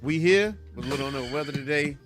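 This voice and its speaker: A man's voice: on the high side, expressive, fast talker, music in the background. A man co-hosting a casual wrestling and comics talk show, laid-back and rambling, with a stuffy nose from allergies. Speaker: podcast co-host. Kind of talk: casual chat.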